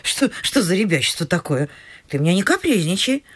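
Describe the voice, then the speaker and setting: Swooping, bossy, excitable mother. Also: fairly low pitch, fairly quick. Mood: scolding. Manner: scolding, theatrical. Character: bossy, excitable middle-aged mother of a marriageable daughter. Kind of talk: stage vaudeville radio play acting.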